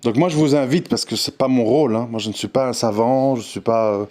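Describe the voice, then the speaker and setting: A man's voice: medium pitch, fairly quick, a little mellow. A man giving an unscripted, earnest audio monologue on religion, insistent and full of restarts and repeated words. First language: not Arabic. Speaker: religious commentator.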